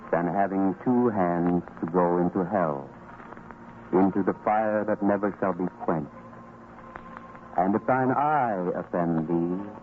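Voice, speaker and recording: A man narrating an old radio drama as announcer, in a solemn, formal, measured manner. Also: sits low, slight background noise. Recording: muffled old radio broadcast with music. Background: music.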